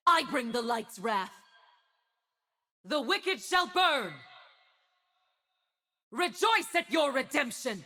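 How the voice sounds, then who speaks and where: A proud holy warrior lady for a video game, slow n commanding, pitch swings a lot. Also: sing-song, bright, forceful tone, exaggerated voice. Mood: wrathful command. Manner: haughty, solemn, commanding delivery. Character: proud, righteous winged warrior woman, imperious judge. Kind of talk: dramatic video game voice acting.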